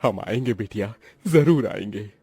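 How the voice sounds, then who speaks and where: Cartoon casting: male actor as humble, devout uncle, husky low voice, patient and proud, music underneath. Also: animated, measured pace, mellow, no echo, full character voice. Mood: tender. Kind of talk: cartoon story dialogue.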